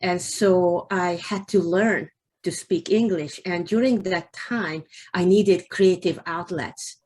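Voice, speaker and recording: A woman's voice: lowish voice, slow, darkish tone, husky. A woman whose first language is Hungarian, answering interview questions about her writing earnestly, with small restarts. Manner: earnest, with restarts and light laughs. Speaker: guest author.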